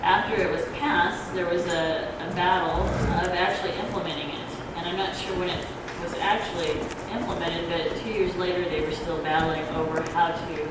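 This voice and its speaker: A soft-voiced woman giving an informal explanatory talk at a public forum, speaking in a halting, hesitant way. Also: fairly low pitch, fairly even intonation. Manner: halting, hesitant, loses her thread. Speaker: ballot measure proponent, debate speaker. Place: community grange hall. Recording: echoey hall, distant noisy microphone.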